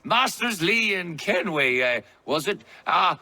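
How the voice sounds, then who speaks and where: An actor playing a flustered, obliging innkeeper in a video game, speaking hesitantly. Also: male voice, sits high, expressive, takes it slowly, sharp tone, heavily acted. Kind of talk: video game cutscene acting.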